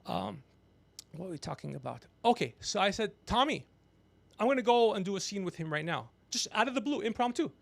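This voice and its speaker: A gregarious man hosting a podcast, telling rambling comedic stories with animated, teasing asides. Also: high-pitched, fairly quick, gravelly, dry recording. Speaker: podcast host. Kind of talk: rambling comedic storytelling on a livestream.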